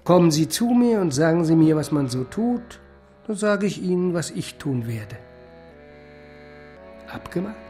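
A mighty, tight-fisted financier in a radio drama, in a fairly high voice. He is shrewd, brisk and drily courteous, with music playing underneath. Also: big pitch swings, mellow. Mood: sly, courteous. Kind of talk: German radio drama acting.

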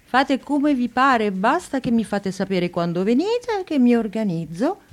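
Bossy elderly woman, scolding and matter-of-fact, played by an actress, slightly high voice, unhurried radio-play delivery. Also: sing-song. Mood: lecturing. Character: bossy elderly woman Clara, in her seventies, sure of herself. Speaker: actor.

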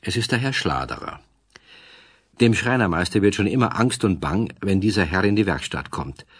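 A man with a husky voice narrating a children's radio play as a storyteller. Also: low-pitched, expressive, moderate pace, heavily acted.

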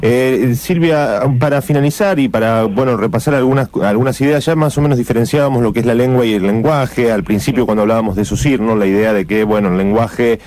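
A man's voice: mid-range voice, a little fast, hardly any pauses, darkish tone. A man hosting a radio interview, friendly and affirming, searching for words as he poses questions. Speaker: radio host.

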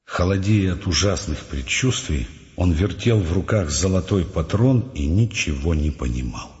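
A man narrating a literary audio play in Russian with measured, suspenseful storytelling. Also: low voice, animated, darkish tone. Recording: muffled studio track with music behind. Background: prominent music.

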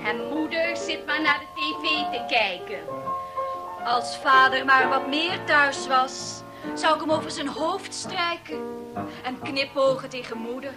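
Spoilt, dissatisfied teen girl, very high-pitched, vintage radio drama with music underneath. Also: measured pace. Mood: wistful. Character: spoilt, discontented teenage girl. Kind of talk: 1960s Dutch radio play acting. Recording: 1960s radio recording with background music.

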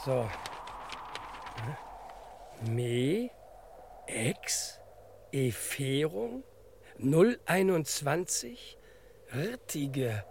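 An actor playing an eager, devoted sidekick detective in a crime radio play parody, speaking in clipped telegram style. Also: male voice, on the high side, big pitch swings, extremely slow, gravelly, long pauses, darkish tone, a little hiss or hum, full character voice. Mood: puzzled, dutiful. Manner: clipped telegram-style sentences without pronouns.

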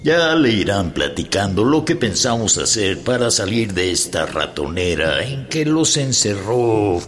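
An actor playing a scornful, commanding army general who conspires, in a measured radio drama style. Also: male voice, fairly low pitch, big pitch swings, slight background noise. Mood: conspiratorial. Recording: faint music behind.

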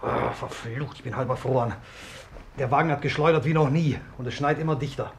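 A man's voice: mid-range voice, a little fast, gravelly, lightly acted. A man playing a grumbling, teasing young husband in a 1950s radio play. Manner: bantering, grumbly. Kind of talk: 1950s German-language radio play acting. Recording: noisy old radio play recording.

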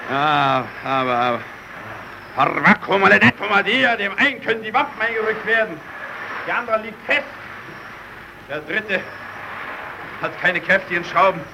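An actor playing a quick-tempered, sarcastic stranded Italian lieutenant in a German radio play, given to barking orders. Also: male voice, high-pitched, creaky. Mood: furious, commanding. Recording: noisy old radio drama recording.

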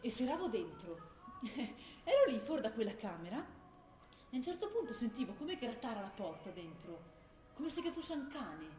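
A troubled young militant, softly confiding. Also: female voice, on the high side, very animated, moderate pace, echoey room, a little hiss or hum. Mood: dreamy. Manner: confiding, dialect-tinged Italian. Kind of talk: radio broadcast of a stage play.

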